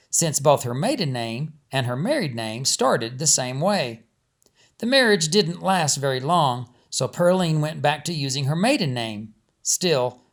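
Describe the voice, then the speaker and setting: A man, an author reading his own storybook and chatting casually around it. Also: fairly high pitch. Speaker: author and narrator. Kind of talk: storybook narration and casual chat.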